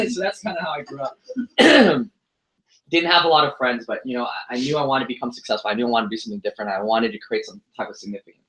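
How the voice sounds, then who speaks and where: A young man in his twenties gives a motivational talk and keeps slipping into self-deprecating jokes. His voice is bright and forward, at a middling pitch. The sound rings in an echoey room. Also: expressive, fast. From United States. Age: 20s. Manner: joking, self-deprecating storytelling. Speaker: motivational speaker. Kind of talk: motivational speech to an audience.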